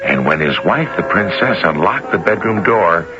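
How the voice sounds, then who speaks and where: A man's voice: sits low, lively intonation, moderate pace. A man hosting and narrating a scripted radio story, theatrical and speaking straight to the listener. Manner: theatrical, addresses listeners directly. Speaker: host and storyteller. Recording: muffled 1970s radio recording. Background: loud music.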